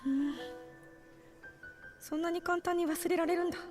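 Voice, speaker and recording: An actress playing a proud, bashful, sharp-tongued young woman scientist in a Japanese visual novel, halting and tender. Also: extremely high pitch, fairly even intonation. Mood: teasing, wistful. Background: game music.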